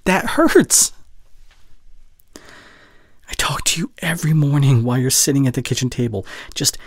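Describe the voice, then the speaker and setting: A young man playing a caring, heartbroken boyfriend in an intimate audio roleplay, speaking haltingly and earnestly, often dropping to near whisper. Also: mid-range voice, dry recording, clean studio sound. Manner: halting, earnest, pausing mid-sentence. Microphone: close studio condenser mic.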